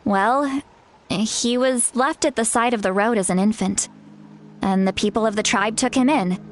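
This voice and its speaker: A woman's voice: a little high, normal speed, natural voice. A woman playing a fondly fussy, self-assured shaman elder who wants to seem youthful, in a video game. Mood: fond, explanatory. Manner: chatty, self-assured, lightly fretful about seeming old-fashioned. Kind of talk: video game character acting. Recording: background music under the voice.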